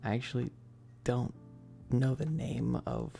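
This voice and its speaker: A livestream host chatting casually and informally in a soft, close whispery ASMR delivery into a binaural mic, rambling with teasing asides to chat. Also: mid-range voice, unhurried, creaky, faint music behind. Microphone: close binaural microphone. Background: tapping and keyboard clicking.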